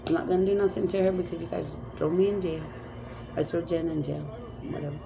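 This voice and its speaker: A woman's voice: on the low side, fairly even intonation, quick pace, vocal fry, noisy background. A woman speaking as a self-described spirit medium in a rambling, emphatic monologue, pleading and repeating commands.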